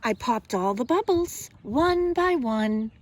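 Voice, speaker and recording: Kindergarten teacher lady, slow n expressive storytime voice, kinda high, bit of noise behind her. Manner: deliberate, expressive, speaks simply as to young children. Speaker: kindergarten teacher reading to children. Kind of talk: children's storybook read-aloud and simple instruction.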